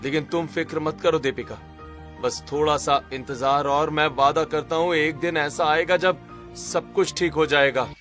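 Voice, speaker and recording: A man voicing a humble but quietly proud young working husband in a cartoon story. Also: fairly high pitch, fairly even intonation, moderate pace, faint music behind, natural voice. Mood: apologetic, earnest. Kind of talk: Hindi cartoon story dialogue.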